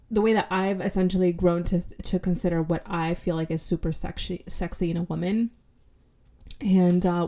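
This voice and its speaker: A woman talks through a solo podcast episode with a congested, slightly stuffy voice. Her tone is dark and mellow and sits on the low side. She speaks frankly, swears casually and fills her sentences with loose conversational fillers. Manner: candid and blunt, swears casually, many fillers like "like" and "you know". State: congested, recovering from illness. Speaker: podcast host.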